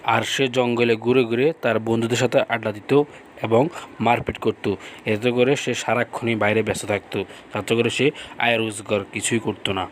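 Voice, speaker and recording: A man's voice: medium pitch, little pitch movement, moderate pace. A man narrating a cartoon folk tale in a simple, repetitive storytelling manner.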